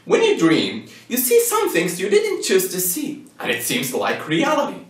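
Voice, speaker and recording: A man delivers an emphatic, persuasive narration in an echoey space, a little off the mic. His pitch moves up and down dramatically as he poses rhetorical questions and drives his argument home. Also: huge pitch swings, normal speed. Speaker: presenter of a religious argument. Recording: echoey room, distant from the mic.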